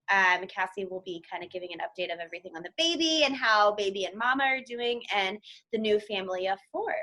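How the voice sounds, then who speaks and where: A woman's voice: mid-range voice, bright, forceful tone. A woman co-hosting a podcast, speaking casually and upbeat with "um" fillers. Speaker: podcast co-host.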